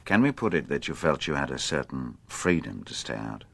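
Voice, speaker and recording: Radio drama police inspector, extremely low voice, measured, discreet, patient, probing. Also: male voice, sing-song, gravelly, full character voice. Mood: tactful, probing. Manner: measured, probing, politely hesitant. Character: shrewd, patient, discreet male police inspector questioning a suspect. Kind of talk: radio drama acting, interrogation dialogue.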